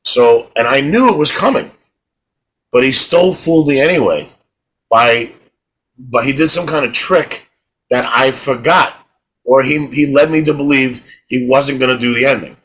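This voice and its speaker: A man's voice: medium pitch, moderate pace, a bit bright, slightly rough, low-quality recording. A middle-aged man co-hosting a podcast in casual chat, telling rambling stories and restarting his words. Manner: rambling storyteller, repeats words when starting. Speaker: podcast co-host.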